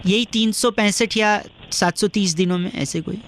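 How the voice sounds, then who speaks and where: A man making a comic prank phone call, with cheeky deadpan salesman patter that teases the person on the line. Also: high-pitched, normal speed, slight background noise. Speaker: prank caller, radio jockey.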